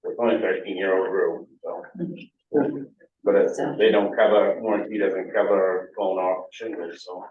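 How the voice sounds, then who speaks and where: A man's voice: low voice, flat delivery, moderate pace. A plain-spoken man at a town board meeting, explaining roofing details. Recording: dull, echoey hall, distant mic.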